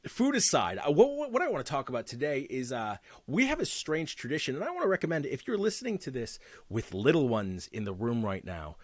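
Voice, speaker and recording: A man hosting a chatty podcast with a lively, swooping delivery, full of jokes and tangents. His voice sits in a middle register, recorded dry and close with no room sound. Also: medium pitch, a little fast, no echo. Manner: joking, rambling, laughs often. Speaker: podcast co-host. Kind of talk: casual banter podcast.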